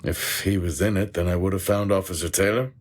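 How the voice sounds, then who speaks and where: A man acting a guarded, dry-witted search worker in a video game, speaking tersely with a husky voice. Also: deep voice, fairly even intonation, fairly quick. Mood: grim, terse.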